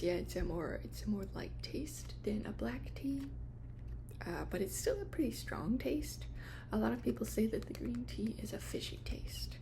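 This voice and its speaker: A woman speaking in a hushed, hesitant, unscripted way as an ASMR host playing a shop clerk helping a customer. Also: a little high, vocal fry. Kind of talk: ASMR shop roleplay, soft-spoken and whispered.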